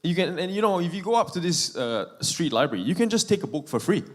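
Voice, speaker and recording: A man from Malaysia preaching a sermon in a church, speaking in short phrases and pausing between them for an interpreter. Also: sits high, big pitch swings. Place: church sanctuary.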